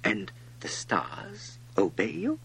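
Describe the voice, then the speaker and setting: A man's voice: lowish voice, wildly swooping pitch, slow, gravelly, a bit bright, slightly rough, heavily acted. A man voicing a polite, timid, curious young boy prince in a storybook, hesitant and earnest.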